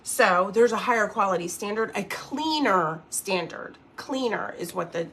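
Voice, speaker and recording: A woman's voice: medium pitch, measured pace, a bit bright, slight background noise. A woman in her early fifties hosting a casual makeup livestream, chatting and rambling as she works and reads out viewer comments. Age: 50s.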